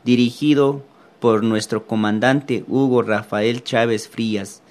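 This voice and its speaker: A man reading listener letters aloud on the radio in a steady, formal way. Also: mid-range voice, slow pace.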